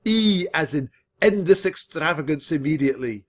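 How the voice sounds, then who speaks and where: A man's voice: a little high, lively intonation. Man playing a bellowing, bossy old grandfather mouse. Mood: hectoring. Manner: shouting, hectoring, emphatic drawn-out words. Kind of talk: children's audiobook character.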